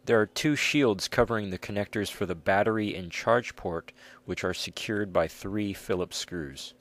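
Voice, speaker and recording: A man's voice: lowish voice, moderate pace, a bit bright. A man reads scripted step-by-step instructions as the narrator of a repair tutorial.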